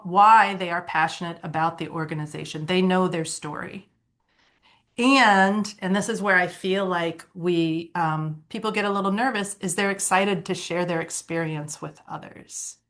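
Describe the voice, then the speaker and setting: A woman's voice: fairly low pitch, fairly bright tone. A woman from Ohio leading a nonprofit board training workshop, talking conversationally with fillers and self-corrections, and getting enthusiastic when she tells her own story. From Ohio, USA. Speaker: workshop presenter.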